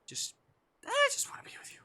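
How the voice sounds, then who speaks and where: A man's voice: brisk, pushed and bright, in a whisper. A man voicing a shy, anxious AI companion from a visual novel, speaking hesitantly and with vulnerability. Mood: pleading, hurt. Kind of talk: visual novel dialogue read aloud.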